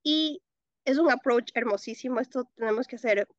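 Expressive Ecuadorian woman, interview guest. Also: a little high, moderate pace, no room sound, muffled recording. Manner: expressive, laughs often, mixes in English words. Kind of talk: interview answers.

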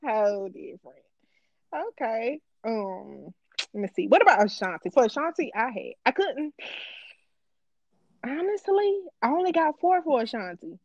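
A woman's voice: fairly high pitch, sing-song, normal speed, no echo, muffled recording. A woman co-hosting a podcast in casual chat, teasing and laughing a lot. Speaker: podcast co-host.

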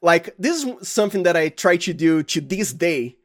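Brazilian male guest, Portuguese native, slightly high voice, halting conversational delivery with frequent restarts. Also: lively intonation. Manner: halting, restarts phrases often, chuckles. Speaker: sound designer, interview guest. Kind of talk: casual interview chat.

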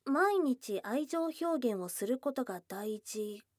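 A shy young goblin girl, played by a woman, speaks in a high voice at a slow, halting pace. She is tomboyish but smitten and easily flustered, murmuring softly in an ASMR drama. The sound is very dry and close to the microphone. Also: animated, no room sound. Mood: cozy, curious. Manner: hesitant, bashful, halting, with soft murmurs. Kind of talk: intimate ASMR situation voice drama. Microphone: close studio microphone, dry.

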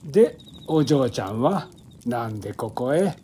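A man's voice: medium pitch, big pitch swings, unhurried, warm tone, slight background noise. An actor playing a blunt, fatherly old man in an audio drama, admonishing in old-fashioned phrasing. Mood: gently blunt.

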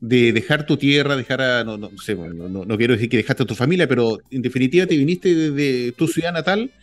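A man's voice: mid-range voice, fairly quick, no room sound, soft background music. A man hosting a casual interview, asking long friendly questions and dropping short backchannels. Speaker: podcast host.

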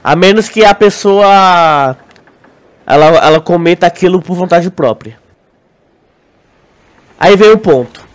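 A Brazilian man with a notably high voice talks as a podcast co-host. He explains things haltingly, doubling back on words and regularly asking for agreement in a casual, conversational way. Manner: halting, repeats words, often checks listener agrees. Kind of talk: casual podcast discussion, theorizing.

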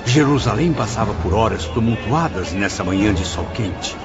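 A man acting in a radio drama as a tormented male spirit who confesses a cruel past life in a theatrical, deliberate way. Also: lowish voice, lively intonation. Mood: haunted, intense. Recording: muffled audio under loud music.

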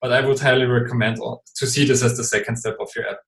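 A man's voice: mid-range voice, flat delivery, quick pace, a bit bright. German-accented man explaining in a roomy space. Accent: Austrian German. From Austria. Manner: hesitant, many fillers and restarts. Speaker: guest expert. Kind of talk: podcast interview.

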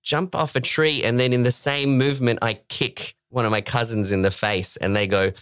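A young man co-hosting a casual chat, self-deprecating and quick to laugh. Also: mid-range voice, steady tone, fairly bright tone, clear, resonant tone, no echo, studio quality. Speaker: co-host.

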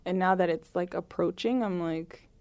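A woman's voice: fairly low pitch, normal speed, darkish tone. A woman in her late twenties chatting casually as an interview guest, often peppering her talk with "like". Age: late 20s.

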